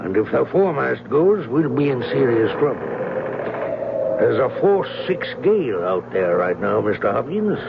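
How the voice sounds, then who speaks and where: An actor in an old-time radio drama playing a grave, authoritative sea captain, formal and emphatic, with a gravelly voice. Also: male voice, mid-range voice, sing-song, moderate pace. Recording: tinny, very noisy old radio recording.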